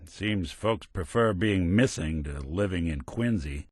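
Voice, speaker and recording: World-weary robot private eye, video game. Fairly low pitch, close dry sound, wry hard-boiled delivery, loyal at heart. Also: male voice, expressive, measured pace, a little mellow, no echo. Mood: wry, matter-of-fact. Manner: wry, understated, old-fashioned hard-boiled phrasing. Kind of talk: video game character voice lines, film noir detective acting.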